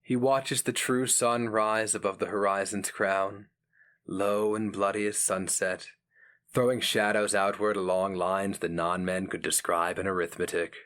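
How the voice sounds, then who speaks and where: A man giving a solemn, theatrical and deliberate dramatic reading of a fantasy story. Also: low voice, level tone, a bit bright. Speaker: narrator.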